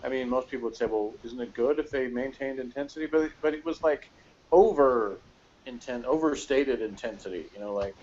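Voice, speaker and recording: A man giving a casual, opinionated review as a co-host, working through his points in a halting, rambling way. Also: medium pitch, darkish tone, dull audio. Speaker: co-host reviewing an audio drama.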